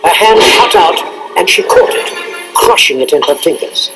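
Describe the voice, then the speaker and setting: A man giving dramatic scripted narration for a children's read-along cassette, over loud music and effects. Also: high-pitched, sing-song, moderate pace, low-quality recording. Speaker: storybook narrator.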